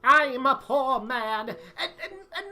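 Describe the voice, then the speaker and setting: A woman's voice: sits high, animated, slow pace, pushed and bright, soft background music, full character voice. A woman playing a nervous, flustered court character in an audio drama, with a jittery, declamatory delivery. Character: nervous, flustered court figures: herald and witness.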